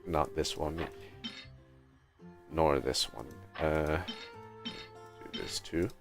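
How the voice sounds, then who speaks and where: A man giving casual livestream gameplay commentary, thinking aloud in a halting, muttering way. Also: extremely low pitch, fairly even intonation, creaky, music in the background. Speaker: game livestreamer.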